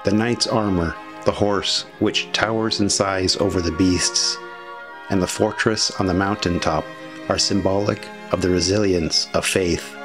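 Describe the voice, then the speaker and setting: A man reading scripted documentary narration with commentary in a measured, formal way. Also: low voice, steady tone, music in the background. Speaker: history video narrator.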